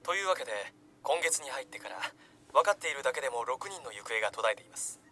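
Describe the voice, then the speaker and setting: A man acting as a brisk, serious TV news anchor in a visual novel game, reading formally over faint background noise. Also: medium pitch, animated, bright, forceful tone.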